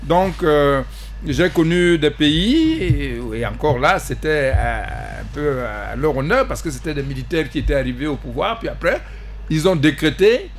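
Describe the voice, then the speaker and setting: A man from the Central African Republic, a party president speaking as a guest in a panel debate, halting, repeating words and pressing his points. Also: on the high side, lively intonation, slight background noise. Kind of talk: panel talk show debate.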